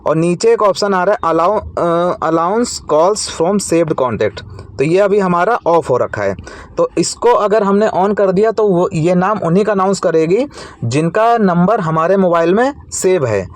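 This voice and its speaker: A man's voice: high-pitched, animated, unhurried, dry recording. An Indian man hosting an informal tech tutorial, explaining each step in a repetitive way.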